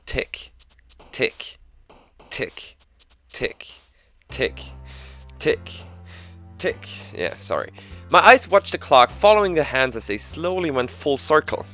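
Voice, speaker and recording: A man with a cold, hoarse and stuffy-nosed, reading a visual novel aloud and breaking off into chuckling, self-mocking asides. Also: mid-range voice, big pitch swings, slow, pauses often, fairly bright tone, faint music behind. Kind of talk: reading a game's text aloud with joking asides.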